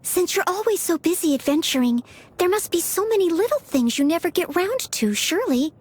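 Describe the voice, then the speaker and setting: A woman's voice: very high-pitched, sing-song, brisk, a little mellow. A woman voicing a polite, self-effacing young maid and aspiring knight in a video game, eager to please. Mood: devoted, bright. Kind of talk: video game character voice lines.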